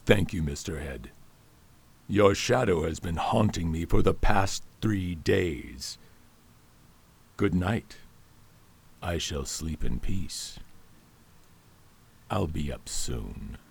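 An actor playing a scheming male doctor and poisoner in a radio drama, formal and quietly menacing. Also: low-pitched, expressive, takes it slowly, gravelly, long pauses, husky, exaggerated voice. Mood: calm.

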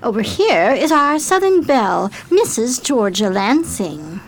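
An actress playing a chic, effusive 1920s society hostess in a radio play, with an affected manner. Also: female voice, on the high side, big pitch swings, unhurried, heavily acted. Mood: gushing.

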